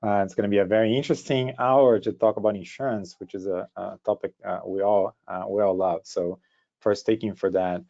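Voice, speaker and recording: A man with a Brazilian accent presenting an informal webinar in a halting way, often repeating words as he goes. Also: fairly low pitch, fairly even intonation, gravelly, dark tone. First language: Portuguese. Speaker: startup co-founder giving a presentation. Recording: dull, lo-fi online call audio.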